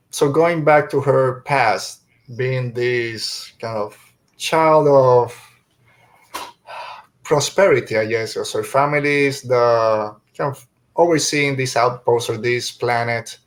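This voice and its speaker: A man hosting a podcast, chatting casually through a review and often searching for his words as he rambles. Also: mid-range voice. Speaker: podcast host.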